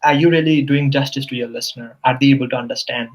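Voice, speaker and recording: A man whose first language is Nepali speaks English as a guest tutor. His voice sits at a middling pitch. He explains his learning habits earnestly and conversationally, often pausing to check in with the listener. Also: steady tone, dull audio, noisy background. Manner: earnest, explanatory, with frequent "you know" and "right?". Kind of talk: casual conversational interview.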